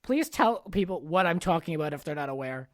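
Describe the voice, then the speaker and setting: A man's voice: fairly high pitch, expressive, fairly quick. A man hosting a podcast interview in a casual, conversational style. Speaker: podcast host.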